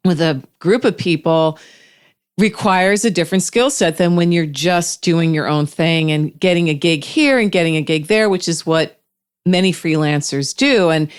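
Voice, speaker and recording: Smooth-voiced woman hosting an interview. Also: lowish voice. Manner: thoughtful, searching for words while asking. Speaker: podcast host, interviewer. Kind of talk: interview questions.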